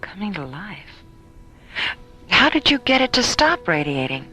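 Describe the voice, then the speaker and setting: A woman playing a capable, brisk and concerned space-station doctor in a radio drama. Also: medium pitch, very animated, pushed and bright, faint music behind. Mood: concerned, curious.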